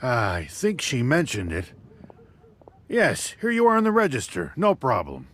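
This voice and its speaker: An actor playing a polite, businesslike dock warehouse manager in a video game. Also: male voice, mid-range voice, wildly swooping pitch, unhurried, a bit bright, a little hiss or hum.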